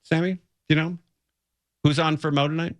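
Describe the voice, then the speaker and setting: A man's voice: on the high side, flat delivery, fairly quick, no room sound. Dry, close-sounding male talk radio host. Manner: conversational, opinionated, repeats phrases for emphasis. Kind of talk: off-the-cuff radio commentary. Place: radio studio.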